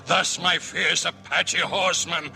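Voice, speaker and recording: An actor playing a fierce, solemn war chief, declaiming rhythmic verse in an old radio drama. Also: male voice, fairly high pitch, level tone, unhurried, gravelly. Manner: solemn, rhythmic, swelling intensity. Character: fierce, solemn Apache war chief rallying his horsemen. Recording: vintage radio broadcast under music.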